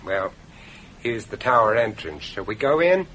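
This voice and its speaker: An actor playing a grave, observant gentleman who narrates a horror tale in a radio play, in a dramatic storytelling style. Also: lowish voice, very animated, moderate pace. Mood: uneasy, brooding. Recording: noisy old radio drama recording.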